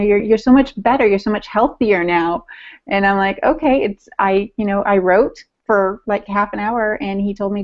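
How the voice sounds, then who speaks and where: A woman's voice: medium pitch, muffled recording. A woman speaking as a podcast co-host in a casual, earnest and personal way. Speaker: podcast co-host discussing writing.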